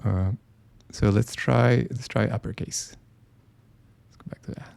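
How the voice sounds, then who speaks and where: Low-key guy doing a live design tutorial, gravelly and mellow, lots of ums. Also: fairly even intonation, a little slow. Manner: casual, thinking aloud with many ums and restarts. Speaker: design software presenter. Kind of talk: live screen-share tutorial.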